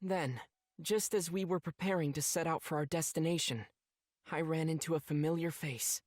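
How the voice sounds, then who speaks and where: A man's voice: on the high side, fairly even intonation, normal speed, no echo, clean studio sound, lightly acted. A man voicing a kind-hearted teenage boy swordsman in an anime game, sincere and earnest. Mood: earnest, reflective. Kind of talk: anime game voice acting, reflective narration.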